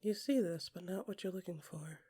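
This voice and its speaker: A woman narrating scripted second-person fiction in an intimate, dramatic way, with a breathy voice that often drops into whispers and deliberate short phrases. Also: fairly low pitch, mellow. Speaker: podcast creator and narrator.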